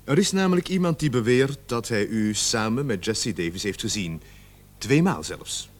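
An actor playing a measured, insinuating police sergeant in a radio drama, formal and deliberate as he questions a suspect. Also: mid-range voice, expressive, lightly acted. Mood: probing, insinuating. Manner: formal, deliberate, falsely soothing. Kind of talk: Dutch-language radio drama acting.

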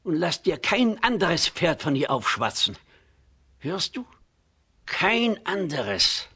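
A man playing a kindly, worried old villager in a children's radio play, husky and gravely cautioning. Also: fairly high pitch, big pitch swings, slow, pushed and bright, full character voice. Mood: anxious, urging.

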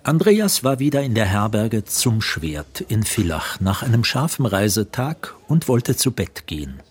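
A man narrating a literary radio drama from a script in formal, measured storytelling sentences. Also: fairly low pitch, very animated, breathy edge. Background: music.

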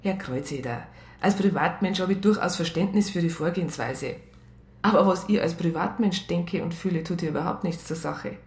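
A woman acting as a strict police psychologist in a radio crime play. Her lowish voice is matter-of-fact and rather formal, with a roomy echo around it. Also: normal speed, a little mellow, lightly acted. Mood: stern, formal. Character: strict, self-conflicted female police psychologist, narrating. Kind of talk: radio crime drama, colloquial narration and dialogue.

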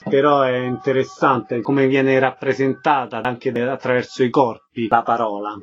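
A man's voice: medium pitch, level tone, moderate pace, lo-fi sound. A man hosting a radio interview, giving introductions and putting questions to his guests.

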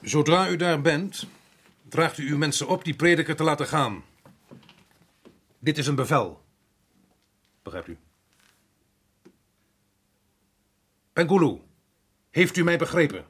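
An earnest colonial administrator in a radio drama. His husky voice swings widely in pitch, carrying both authority and troubled doubt. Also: expressive, normal speed, pauses often, fairly bright tone. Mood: commanding, angry. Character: earnest, troubled colonial resident official, commanding yet doubting. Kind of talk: radio play acting.

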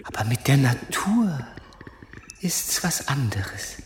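An actor playing a troubled, humble soldier in a radio play, halting and feverish as he broods on his visions. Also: medium pitch, sing-song, in a whisper. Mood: haunted, searching. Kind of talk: German-language radio play acting. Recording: long hall reverb, faint noise.

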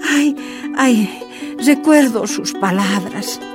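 A woman's voice: a little high, takes it slowly. An actress playing a frank, determined Bolivian miners' leader and mother in a radio drama, with a Bolivian accent. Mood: weary, reflective. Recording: music underneath.

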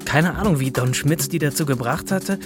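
A man's voice: fairly high pitch, normal speed, over music, lightly acted. An actor playing a griping male bicycle courier, narrating a radio drama in a sardonic, slangy, colloquial way. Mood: wry, griping.

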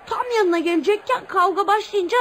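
A woman's voice: very high-pitched, fairly quick, fairly bright tone, a little hiss or hum. A woman playing a brave, polite, earnest young schoolboy in a radio play. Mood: chatty, sheepish. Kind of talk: Turkish radio theatre acting.

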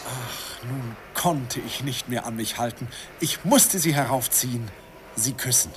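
A man's voice: mid-range voice, a little slow, natural voice. An actor narrating as an older man wistfully recalling his youth in a classic radio play. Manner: wistful storytelling, chuckling. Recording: hissy old radio recording.

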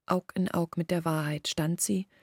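A village woman from an outcast family in a literary radio play. Her voice is low and husky, recorded close and dry, and she tells of shame in measured, thoughtful sentences. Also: steady tone, darkish tone, dry recording. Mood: grave, reflective. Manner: measured, reflective, articulate storytelling. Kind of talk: literary radio drama monologue.

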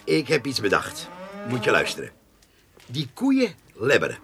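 An actor playing a cheeky, proud farmhand inventor in a radio play, colloquial with mock-grand salesmanship. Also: male voice, mid-range voice, huge pitch swings, unhurried, fairly bright tone, slight background noise, heavily acted. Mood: eager. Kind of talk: comic radio play dialogue.

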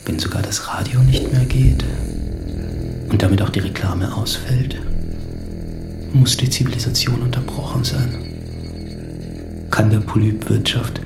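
Low-voiced, brooding lone survivor. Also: male voice, measured pace, long pauses, in a whisper. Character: brooding solitary survivor of a vanished humanity. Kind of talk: literary radio drama monologue. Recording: echoey voice under clear music.

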